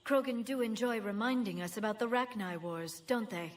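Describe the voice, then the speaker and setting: A woman's voice: on the high side, moderate pace, natural voice. Woman playing a poised, slightly distant alien scientist. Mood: wry. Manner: composed, wry. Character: poised, friendly female alien scientist, gently teasing. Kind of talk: video game voice acting.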